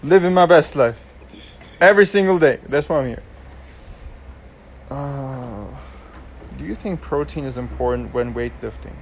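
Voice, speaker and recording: A man's voice: mid-range voice, a little slow, creaky, pauses often, poor audio. Young guy doing a chill live q&a, lots of ums, mid voice, bit of background hiss. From Germany. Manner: chatty, explanatory, many ums and restarts. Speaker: host. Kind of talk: casual live Q&A, answering viewer questions.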